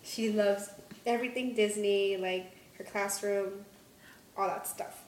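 A woman's voice: medium pitch, fairly even intonation, a little slow, darkish tone. A woman in her twenties co-hosting a casual podcast with playful, teasing banter, recorded in an echoey room. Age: 20s. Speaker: podcast co-host. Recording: echoey room with faint noise.

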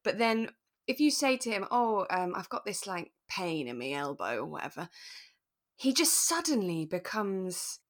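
A British woman talks in an easy, conversational way as a podcast host. Her pitch sits in the middle for a woman, and her delivery is chatty and giggly. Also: sing-song, moderate pace. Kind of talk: casual chat.

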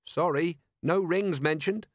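A man's voice: a little high, slow, dry recording, clean studio sound, pitched above the performer's own voice. A man voicing a polite, earnest and unflappable police captain in an audiobook. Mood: bright, encouraging. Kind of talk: audiobook character voice.

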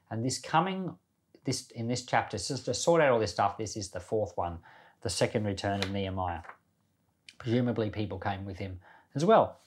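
A man's voice: mid-range voice, darkish tone. A man teaching the Bible informally, speaking conversationally and putting questions to his listeners as he explains. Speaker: Bible teacher and podcast host.

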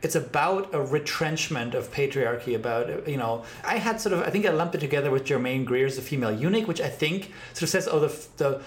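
Higher-voiced man, emphatic discussion. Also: animated, brisk, few pauses. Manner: emphatic, discursive, tags points with "right?". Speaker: podcast host. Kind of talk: conversational academic discussion.

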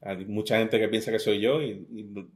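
A man's voice: lowish voice, fairly even intonation, moderate pace, darkish tone. A middle-aged man with an Andalusian Spanish accent, a poet giving an interview, joking about himself and reading his sonnets aloud. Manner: self-deprecating, joking, restarts words often.

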